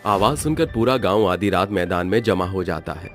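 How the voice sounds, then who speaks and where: A man narrating a cartoon story in a steady storytelling manner. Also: deep voice, moderate pace, music in the background.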